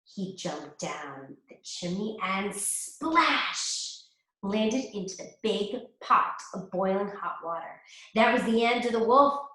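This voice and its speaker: Slow, lively woman reading aloud. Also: mid-range voice. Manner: slow, expressive reading for children. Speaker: teacher. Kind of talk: read-aloud storytelling. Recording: echoey room.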